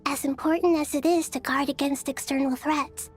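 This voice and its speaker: A woman voicing a gentle, wise, childlike deity in a video game, soft and youthful, speaking formally and earnestly. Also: high-pitched, steady tone, normal speed, close to an everyday voice. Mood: grave, explaining. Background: background music.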